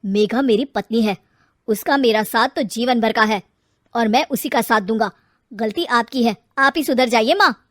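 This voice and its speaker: A woman voicing a firm young married son who scolds his parents, in a lowered voice. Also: animated, normal speed, no room sound, clean studio sound. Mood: stern.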